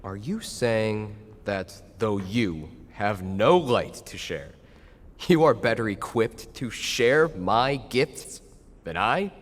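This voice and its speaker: A man performing a solemn, kindly male spirit in an audio drama. His words are measured and grave, like moral instruction, and ring out in a long reverb. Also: fairly low pitch, animated. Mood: grave, reproving.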